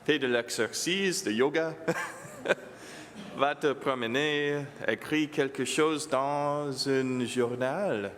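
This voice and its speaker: A priest whose first language is English gives a homily in French with an English accent, speaking very slowly and haltingly at a middling pitch. He slips English words into his sentences. His voice carries a long church reverb over a faint room ambience. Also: a bit bright. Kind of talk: homily with children.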